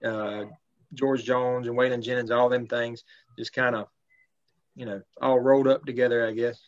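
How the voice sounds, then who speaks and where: A man, a country singer talking casually in an interview in a relaxed, polite way with many fillers. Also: medium pitch, normal speed. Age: 30s. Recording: slightly dull lo-fi sound.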